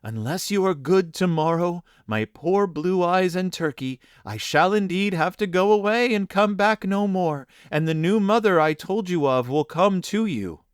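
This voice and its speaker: A man voicing a sorrowful, gentle mother speaking softly to her children in a storybook reading. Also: high voice, expressive, a little slow, hardly any pauses, clean tone, dry recording, studio quality, pitched above the performer's own voice. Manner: soft, sorrowful.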